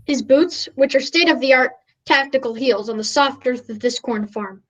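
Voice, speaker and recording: A woman's voice: sits high, normal speed, a bit bright, muffled recording. A woman playing an earnest, grandiose radio news reporter in a mock radio play, declaiming dramatically. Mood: awestruck.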